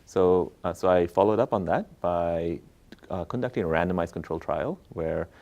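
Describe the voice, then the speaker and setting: A man from Bangladesh speaks in a deep, mellow voice as an academic being interviewed. He explains his work conversationally, step by step, and keeps checking in with short tag questions. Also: normal speed, creaky, highs cut off. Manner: explanatory, checks in with "right?" and "okay?". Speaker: economist, professor interviewed as guest.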